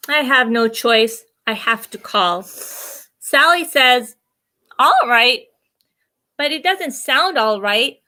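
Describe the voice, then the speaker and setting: A woman's voice: high voice, lively intonation, slow pace, bright, forceful tone. A woman hosting children's story time, reading a storybook expressively with simple asides and questions for young listeners.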